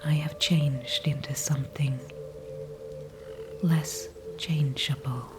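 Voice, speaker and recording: A woman voicing an ancient, calm and wise female tree spirit in an ASMR audio roleplay, speaking only in a soft whisper with formal, old-fashioned phrasing. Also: sits low, slow, over music. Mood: calm, knowing. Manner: soft unvoiced whisper throughout.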